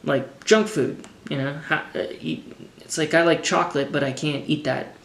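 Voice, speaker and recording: An American man in his thirties talking casually and unscripted, thinking through his answers as a drummer with frequent halting pauses, recorded indoors at a table. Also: fairly high pitch, fairly even intonation, creaky, a bit bright. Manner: halting, thoughtful, pausing to formulate answers. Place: indoors, open kitchen-dining room at a table. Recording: echoey room.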